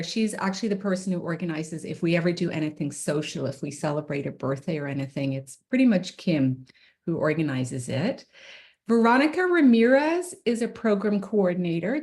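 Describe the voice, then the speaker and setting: A woman's voice: mid-range voice, a little mellow. A middle-aged woman from Ireland, presenting slides to parents at an online meeting in a friendly, informal way with frequent ums, on a computer mic. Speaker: special education administrator.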